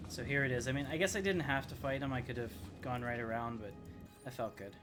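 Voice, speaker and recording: A man's voice: mid-range voice, fast talker. A man streaming a video game, giving casual live commentary as he plays. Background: game music.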